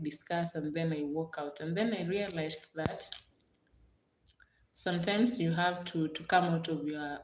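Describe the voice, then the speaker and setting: Kenyan woman with a low voice, thanking her viewers. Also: reverberant. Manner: repeats her thanks over and over; warm and gracious toward her viewers. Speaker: livestream host. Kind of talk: casual livestream chat with viewers.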